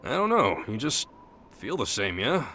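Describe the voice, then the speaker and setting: Wistful American soldier over music. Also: male voice, mid-range voice, very animated, a little slow, sharp tone, heavily acted. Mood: awkward, hopeful. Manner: colloquial, wistful, plain-spoken. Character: earnest rough-edged soldier devoted to his missing partner. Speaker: voice actor in a game cutscene. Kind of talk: game cutscene acting.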